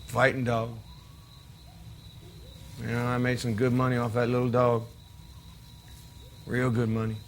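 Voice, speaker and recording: An actor playing a volatile, resentful drifter brother in a stage drama, with an American accent and a gruff, needling, profane manner. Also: male voice, medium pitch, monotone, unhurried, long pauses, lightly acted. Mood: idle, brooding. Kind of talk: naturalistic stage-play acting. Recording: noisy recording, chirping crickets behind.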